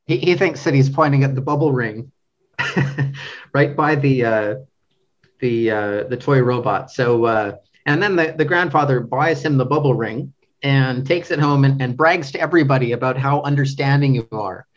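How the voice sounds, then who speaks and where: A man speaking as an interview guest, a translator discussing a book casually, in a halting way, rephrasing as he goes. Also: medium pitch, dull audio.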